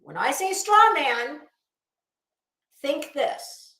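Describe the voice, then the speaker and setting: A woman's voice: high-pitched, sing-song, extremely slow, bright, forceful tone, echoey room. A woman delivering an opinionated online commentary rant, blunt, scolding, emphatic and sweary. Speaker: online commentary video host.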